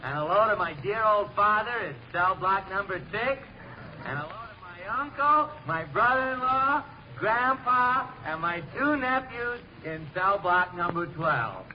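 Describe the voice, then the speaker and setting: An actor playing an earnest prisoner announcing greetings on prison radio in an old comedy show, hearty and list-like. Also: male voice, high-pitched, expressive, a little slow. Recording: muffled, faintly noisy old radio recording.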